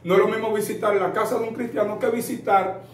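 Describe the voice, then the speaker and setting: Man, 30s, Dominican accent, preacher guest, earnest storytelling, slightly high voice, distant mic, roomy echo. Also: steady tone, fast, slight background noise. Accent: Dominican Spanish. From Dominican Republic. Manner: earnest, addresses listener as usted, frequent fillers. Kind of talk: storytelling interview answers.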